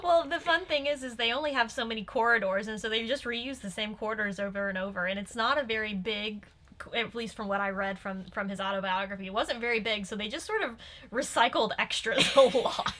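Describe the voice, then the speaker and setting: A woman's voice: a little high, brisk, pushed and bright. A young woman giving casual, giggly commentary and telling anecdotes in an enthusiastic, chatty way. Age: young adult. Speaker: guest fan commentator. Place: small furnished room indoors.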